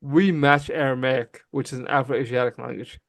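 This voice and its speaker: A man raised in Canada whose first language is Somali, arguing emphatically and quickly in a heated livestream debate, often calling the other person bro. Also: medium pitch, fairly even intonation, vocal fry, no echo, clean studio sound. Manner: emphatic, fast, calls people bro. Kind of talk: heated casual argument.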